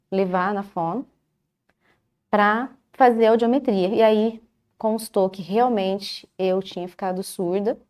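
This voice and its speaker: A woman recounting her own life story in a calm, measured way, pausing often between short phrases. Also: medium pitch. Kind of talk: first-person life story in a TV interview.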